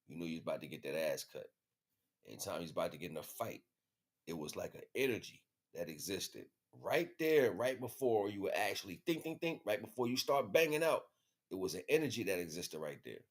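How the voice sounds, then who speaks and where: American male livestream host, low pitch, informal spiritual monologue, street slang, emphatic and repetitive. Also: big pitch swings, a little fast.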